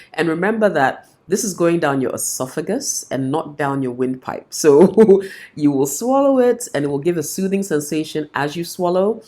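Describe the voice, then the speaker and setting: Lady vocal coach w a cold, really deep low voice, friendly how-to chat. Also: mellow. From Ghana. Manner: friendly, explanatory, gives practical tips. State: has a cold. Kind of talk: instructional talk to camera.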